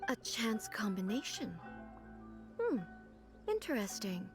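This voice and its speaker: Calm, formal female sovereign, higher-pitched and melodic, game dialogue over music. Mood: calm, composed. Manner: stately, measured, formal phrasing. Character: aloof, regal female ruler, calm and formal. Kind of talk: video game voice acting.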